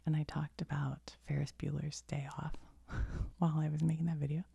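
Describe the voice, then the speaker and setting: A middle-aged woman telling a rambling story in a soft, unvoiced whisper, with little asides as she goes. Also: deep voice, flat delivery, fairly quick, gravelly, slightly rough, dry recording. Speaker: video creator.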